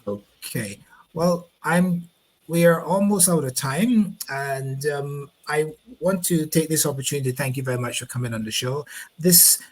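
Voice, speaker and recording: A man's voice: a little high, normal speed, rough recording. Barbadian man hosting a live interview. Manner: rambling, repeats words while finding phrasing. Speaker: host.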